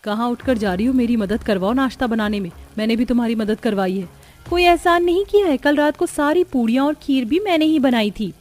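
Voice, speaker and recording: A woman voicing harried, quarrelsome young daughters-in-law in a cartoon, complaining with a sharp tongue. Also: fairly high pitch, expressive, fairly quick, natural voice. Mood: bickering. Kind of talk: scripted cartoon dialogue.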